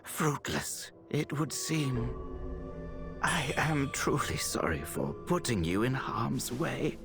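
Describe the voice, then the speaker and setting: Courteous game healer, formal, creaky voice, expressive pitch swings, music behind. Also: male voice, heavily acted. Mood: grave, apologetic. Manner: formal, measured, deliberate. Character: courteous, grave male healer, apologetic and caring. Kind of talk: dramatic video game cutscene acting.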